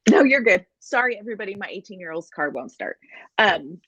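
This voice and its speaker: A woman co-hosting a sports podcast in a chatty, animated way. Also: mid-range voice, fairly quick, husky, dry recording, lo-fi sound. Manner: chatty and animated, apologetic asides. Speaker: podcast co-host. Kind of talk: casual sports podcast chat.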